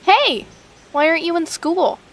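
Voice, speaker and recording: A woman playing a moody but earnest teenage schoolgirl heroine in a comic fandub, youthful and expressive. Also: very high-pitched, measured pace. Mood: sulky, awkward.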